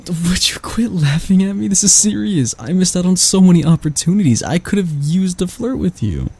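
A man playing a grumpy but soft-hearted barista, flustered and flirty, stammering and teasing in a romantic ASMR roleplay. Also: high voice, big pitch swings, normal speed, slightly rough, voice pushed up, full character voice. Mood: indignant.

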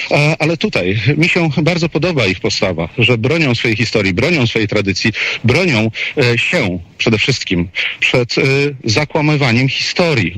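A male radio correspondent gives live commentary in conversation. His pitch is average for a man. He is opinionated and wordy, often hesitating as he builds long sentences. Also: a bit bright, rough recording.

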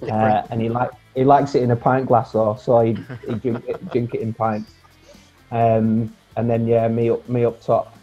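A man in his forties chatting casually as a guest on a video call, relaxed and rambling, with plenty of fillers and restarts. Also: mid-range voice, normal speed. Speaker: guest, former footballer. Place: home room, indoors. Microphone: earphone mic on a video call. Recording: dull lo-fi video call audio with faint music.

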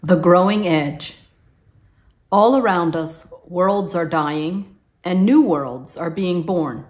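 Slow, low-voiced older woman reading. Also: a little mellow. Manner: deliberate, solemn. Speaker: congregation member, reader. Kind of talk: poetic reading of a devotional text. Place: indoors at home, video recording by a piano.

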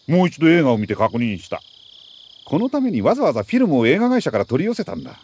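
An actor playing a middle-aged army major who briefs an agent in a crisp, authoritative, deliberate way in a video game. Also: male voice, on the high side, wildly swooping pitch, darkish tone, full character voice. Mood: sheepish, earnest. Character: male military major, mission commander, precise and earnest. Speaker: mission commander briefing an agent.

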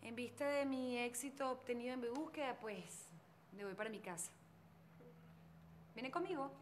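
An actress playing a lively, bold, motherly middle-aged woman neighbor who talks in a theatrical way. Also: fairly high pitch, normal speed, a little hiss or hum, natural voice. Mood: chatty. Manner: bossy and soothing by turns, theatrical. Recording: music playing behind.

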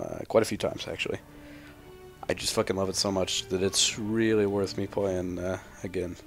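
Low, gravelly young male gamer. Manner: sweary, irreverent, rambling. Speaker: gamer, let's player. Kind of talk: casual gameplay commentary.

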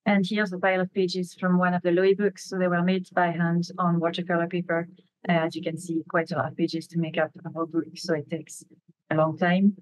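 A woman's voice: fairly low pitch, flat delivery, a little fast, no echo, low-quality recording. A woman in her fifties with a French accent, a native French speaker, giving an informal webinar presentation. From France. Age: 50s. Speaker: graphic novelist and illustrator, webinar presenter. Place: indoors, on a video call.

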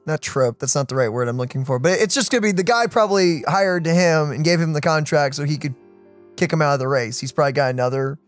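Streamer, medium pitch, informal muttered asides during gameplay, light background music. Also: fast talker. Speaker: let's play streamer. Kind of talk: casual game commentary.

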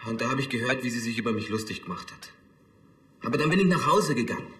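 Bright-voiced, defensive young apprentice, male actor. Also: medium pitch, lively intonation, fast, reverberant, natural voice. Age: young adult. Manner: hesitant, defensive. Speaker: actor in a TV police series.

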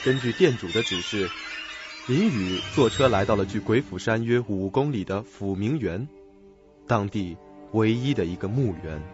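A man's voice: on the low side, lively intonation, normal speed. A man narrating a radio drama in a scripted storytelling style. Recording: dull lo-fi audio, music behind.

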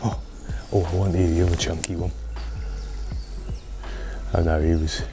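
British male angler, thirties, low unhurried voice, chatty riverside commentary over clear background music. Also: little pitch movement. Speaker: angler presenting a fishing video. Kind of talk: casual fishing commentary to camera. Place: outdoors on a wooded riverbank.

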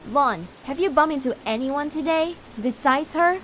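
A young woman playing a bossy, easily exasperated teenage twin sister in a video game fandub, brisk and scolding. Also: sits high, slight background noise, close to an everyday voice. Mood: urgent, puzzled. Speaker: amateur voice actor.

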